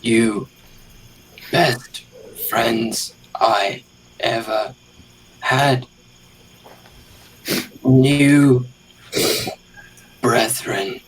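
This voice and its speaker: Man acting a very slow, halting dead adventurer. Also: medium pitch, steady tone, a little hiss or hum, rough recording. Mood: tender, mournful. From Britain. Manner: halting, short clipped fragments. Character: dead male adventurer, solemn, tender, speaking haltingly. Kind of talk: improvised tabletop roleplay drama.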